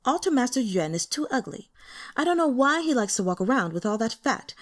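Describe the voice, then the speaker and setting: A woman's voice: on the high side, big pitch swings, fairly quick, voice pushed up. A woman voicing a haughty, capricious female sect boss in a fantasy audiobook, airy and disdainful. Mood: amused disdain.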